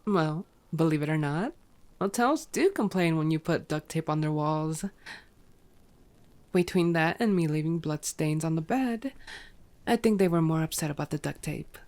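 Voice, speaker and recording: Woman playing a cocky, teasing, slightly low-voiced vampire woman. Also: a little mellow. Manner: flirty, sardonic, foul-mouthed banter. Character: playful, cocky, teasing hungry female vampire. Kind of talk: ASMR roleplay acting.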